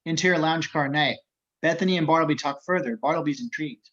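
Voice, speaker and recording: Bright, forceful man reading stage directions. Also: on the high side, fast. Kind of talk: scripted screenplay narration at a table read. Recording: slightly dull, lo-fi home recording.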